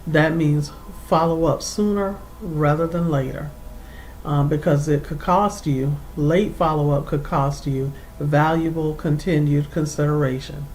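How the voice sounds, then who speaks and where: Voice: steady tone, measured pace, a little mellow, poor audio. Formal career coach over background noise. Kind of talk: instructional advice to camera, semi-scripted. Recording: clear background noise with low rumble.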